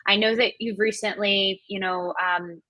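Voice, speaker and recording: Female interview host from Colombia, native Spanish speaker. Casual, affirming, mid-pitched, very little room sound. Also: steady tone, a little slow, no echo, dull audio. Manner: appreciative, affirming. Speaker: podcast host.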